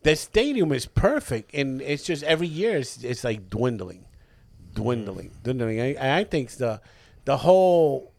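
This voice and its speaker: A man co-hosting a podcast, talking loosely and swearing freely as he tells stories among friends. His pitch swings widely, rising high and dropping low for emphasis. The sound is close and dry, with no room around it. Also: sing-song, a little slow, creaky, darkish tone, no echo. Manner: loose, profane, emphatic storytelling. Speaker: podcast co-host. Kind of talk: casual chat among friends. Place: podcast studio.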